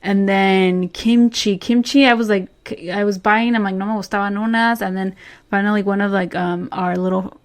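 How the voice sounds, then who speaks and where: A woman's voice: mid-range voice, fairly even intonation, moderate pace. A woman in her forties co-hosting a casual chat, rambling and searching for words, speeding up in bursts. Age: 40s. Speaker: podcast co-host.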